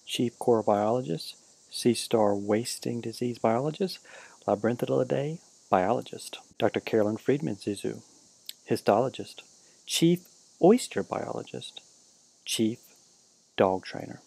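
Deadpan man narrating a mock documentary. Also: medium pitch, unhurried, vocal fry, husky, low-quality recording. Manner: deadpan, listing roll call. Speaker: narrator of a class parody film.

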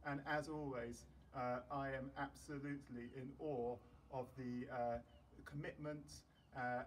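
A middle-aged British man, a headmaster, giving an informal address to camera in a halting, measured way. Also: mid-range voice. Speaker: school headmaster. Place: outdoors in a large open school courtyard. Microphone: camera a few metres away. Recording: distant outdoor mic with background noise.

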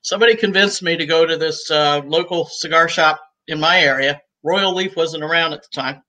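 A woman in her sixties, talking as a guest on a video call from home, chatty and easygoing and quick to laugh. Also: sits low, sharp tone, poor audio. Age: 60s. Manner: talkative and easygoing, laughs often. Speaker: guest, cigar shop owner. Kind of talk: casual interview chat. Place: room at home.

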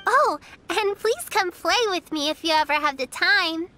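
A woman's voice: lively intonation, a little slow, background noise. A woman voicing a cheerful, eager little girl in a video game, chirpy and polite. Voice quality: high, bright and sweet.

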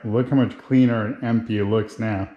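A man's voice: fairly low pitch, fairly even intonation, moderate pace, mellow, lo-fi sound, faint music behind. Man vlogging in an echoey garage. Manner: informal, explains step by step. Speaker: motorcycle builder and video host. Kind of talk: casual how-to vlog narration while working. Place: home garage with hard floor. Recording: roomy, echoing garage sound.

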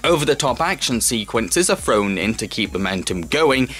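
A man hosting a film review, reading scripted narration in a steady, presentational way. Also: mid-range voice, normal speed. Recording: music under the voice.

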